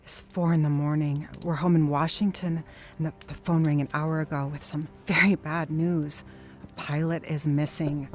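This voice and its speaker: An actress playing a columnist's frank, supportive companion in a naturalistic, understated audio drama style. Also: female voice, deep voice, level tone, normal speed, soft background music, natural voice. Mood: worried, grave.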